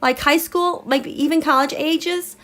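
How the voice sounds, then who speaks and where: A woman's voice: high-pitched, unhurried. Chatty congested woman hosting a livestream. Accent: American. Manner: chatty, rambling, animated asides. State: congested, recovering from illness. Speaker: tarot and astrology livestream host. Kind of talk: casual live-stream chat.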